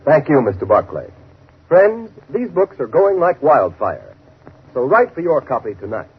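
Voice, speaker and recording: A high-pitched male radio announcer from the 1930s, lively and emphatic as he delivers formal scripted copy. The old recording is thin and tinny, with a little noise. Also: unhurried, slightly rough.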